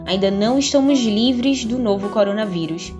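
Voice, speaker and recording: A woman's voice: medium pitch, dull audio. Woman host, formal read, guitar bed. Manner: formal, measured. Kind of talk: scripted announcement.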